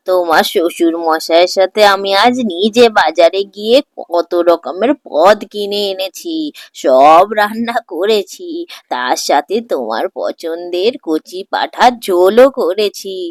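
A woman's voice: mid-range voice, no echo, close to an everyday voice. A woman voicing a doting, bossy older mother-in-law in a cartoon, fussing and emphatic. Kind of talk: cartoon voice acting.

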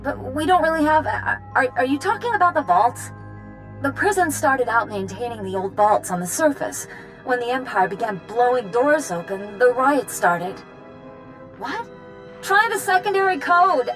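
Harried young female assistant, high voice, halting, earnest, sci-fi game, music behind. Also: animated, a bit bright, rough recording. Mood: flustered, stressed. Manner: flustered, halting, breaking off. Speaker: prison commander's assistant. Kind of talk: video game voice acting.

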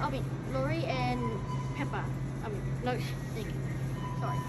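A woman's voice: on the high side, normal speed, loud music behind the voice. A young girl chatting playfully on a family trip, filmed on a phone at an airport and in a plane cabin. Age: child. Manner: playful, excited. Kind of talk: casual home video chatter. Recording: loud engine noise behind. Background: loud aircraft and travel noise.

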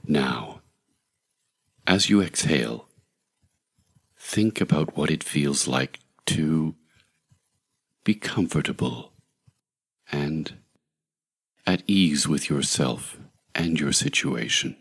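A man narrating a scripted guided meditation in a measured, soothing, deliberate way, with long pauses. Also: extremely low pitch, animated, husky.

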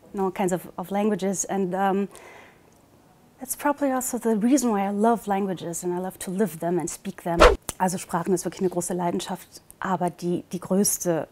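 A woman in her thirties who grew up in Portugal speaks in a casual, personal way, as an actress would when introducing herself. Her voice sits at a middle pitch and her manner is chatty. She is recorded indoors on a lapel mic. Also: darkish tone. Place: indoor studio with dark backdrop.

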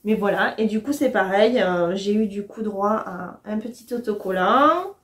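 A woman's voice: medium pitch, normal speed, noisy background. A woman, chatting casually and enthusiastically to the camera as a book vlogger. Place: small furnished room at home, indoors.

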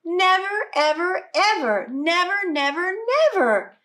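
A woman's voice: extremely high pitch, animated, slow, sharp tone, pitched above the performer's own voice, exaggerated voice. A woman voicing a stubborn, defiant little girl who exclaims emphatically in a storybook.

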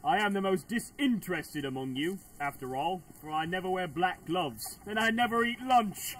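A man's voice: sits high, lively intonation, normal speed, fairly bright tone. An actor playing a callous, droll Victorian businessman in a radio drama. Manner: offhand, droll. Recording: distant mic, faint background noise.

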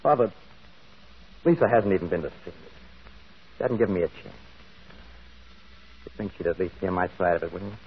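A bitter, fast-talking young prisoner. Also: male voice, low-pitched, slightly rough. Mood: bitter, heated. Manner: blunt, defensive. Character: bitter, hot-tempered young working-class male prisoner. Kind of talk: old radio drama acting. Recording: tinny, band-limited old radio broadcast.

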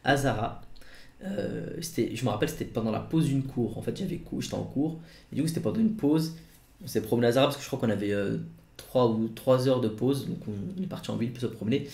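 Young man, 20s, casual YouTuber chat, rambling with fillers, voice of middle pitch, roomy echo. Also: fast talker, gravelly, dark tone. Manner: rambling, many fillers and restarts. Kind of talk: casual vlog to camera. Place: home studio room.

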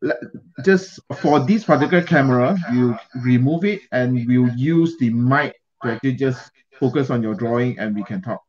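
A middle-aged man with a Malaysian accent, casually hosting a livestream interview in a halting way. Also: mid-range voice, darkish tone. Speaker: host. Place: indoor room, on a video call. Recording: dull, lo-fi video-call audio.

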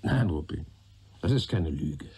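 An actor playing a patient senior police detective in a German radio play, speaking in a dry, probing manner. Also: male voice, very deep voice, animated, a little slow, gravelly, dark tone, slightly rough, full character voice.

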